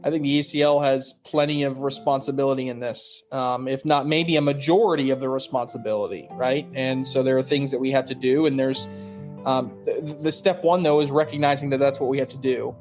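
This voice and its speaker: Male league spokesman, measured corporate interview delivery, middle pitch, soft plucked music underneath. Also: steady tone. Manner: careful, polished corporate phrasing with pauses.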